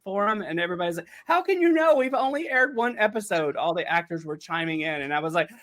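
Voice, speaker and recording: Male panelist, slightly high voice, casual, animated storyteller. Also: quick pace. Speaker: panelist on a behind-the-scenes show. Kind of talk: casual chat.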